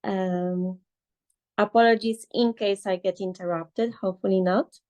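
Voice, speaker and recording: Slow, measured woman. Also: mid-range voice, steady tone, darkish tone, highs cut off. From Poland. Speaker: webinar participant. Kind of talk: personal sharing on a video call. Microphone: computer mic on a video call.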